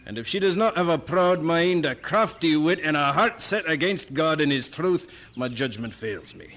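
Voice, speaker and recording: An actor playing a stern, proud Scottish preacher in a radio drama, speaking with a Scottish accent. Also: male voice, on the high side, lively intonation, normal speed, fairly bright tone. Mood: scornful.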